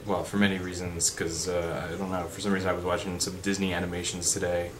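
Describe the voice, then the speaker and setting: A young man with a deep voice chatting unscripted in a vlog, rambling with fillers and restarts. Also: little pitch movement, fast. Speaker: vlogger. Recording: background noise.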